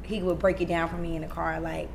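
A raspy-voiced young woman chatting casually as a podcast guest, full of "like" fillers. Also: deep voice, fast talker, slight background noise. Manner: relaxed, lots of "like" fillers. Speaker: guest artist.

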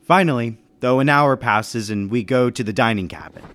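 A man's voice: mid-range voice, moderate pace, a bit bright, lightly acted. A man playing a reserved businessman and war veteran who narrates a 1930s-style radio mystery in a guarded, matter-of-fact way. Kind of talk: 1930s radio drama acting with first-person narration. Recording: music bed under the voice.

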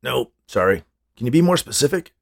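Man playing a gravelly grumpy older man. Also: mid-range voice, sing-song, a little fast, voice pushed up. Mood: suspicious, puzzled. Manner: curt, sarcastic. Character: grumpy, misanthropic older man, blunt and dismissive.

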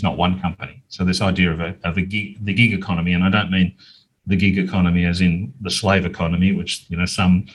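A middle-aged Australian man explaining things in a measured, conversational way as an interview guest. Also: low-pitched, a bit bright, breathy edge, rough recording. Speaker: interview guest, business owner.

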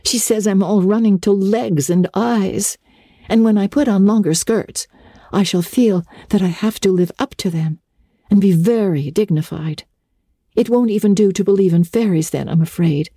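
A woman's voice: mid-range voice, normal speed, mellow, dry recording, studio quality, pitched above the performer's own voice, lightly acted. A woman voicing an eager, talkative, dreamy young girl in a dramatic storybook reading, gushing with breathless earnestness. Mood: chatty, dreamy eagerness.

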